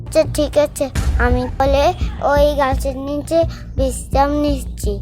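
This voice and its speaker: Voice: sits high, level tone, unhurried, warm tone. A performer voicing a mischievous, stubborn village boy in a cartoon, chatty and self-assured as he explains things simply. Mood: patient, instructing. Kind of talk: cartoon voice acting. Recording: music behind the voice.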